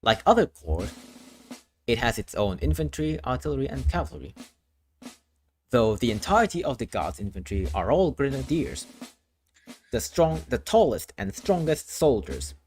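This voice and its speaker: A man's voice: medium pitch, very animated, moderate pace, darkish tone, slight background noise. A man from Thailand, a streamer explaining his worldbuilding lore in a casual, explanatory way, often restarting phrases.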